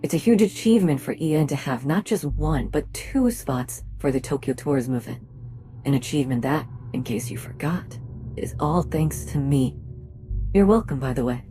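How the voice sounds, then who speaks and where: A woman's voice: sits low, animated, normal speed, warm tone, soft background music. A woman acting as a pompous, sarcastic, self-important female company manager in an audio drama. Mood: condescending.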